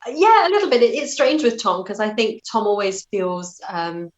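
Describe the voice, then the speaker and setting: A woman's voice: medium pitch, animated, moderate pace. A British woman answering as an interview guest in a conversational, thoughtful, self-effacing way.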